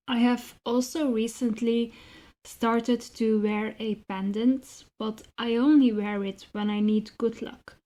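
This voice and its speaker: A woman writer answering interview questions in a measured, articulate and reflective way with rather formal phrasing. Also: a little high, mellow, low-quality recording. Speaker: interviewed writer and zine co-founder.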